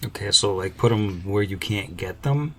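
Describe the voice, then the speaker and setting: A man's voice: fairly low pitch, moderate pace. A man chatting casually as the game master on a podcast panel. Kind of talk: casual chat.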